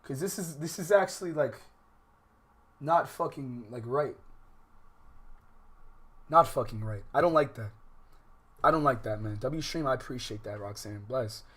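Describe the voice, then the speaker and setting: A man's voice: mid-range voice, expressive, unhurried, long pauses, fairly bright tone. A man doing casual livestream commentary on a game, sometimes reading its text aloud, talking in profane, exclamatory slang and repeating phrases insistently. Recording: music behind the voice. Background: background music, clearly heard.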